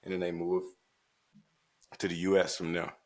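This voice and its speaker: A man from the Bahamas with a Bahamian accent, co-hosting a podcast and telling his story in a relaxed, laid-back way. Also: low-pitched, normal speed. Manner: relaxed, laid-back storytelling with frequent "right" and "you know". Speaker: podcast co-host. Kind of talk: casual chat.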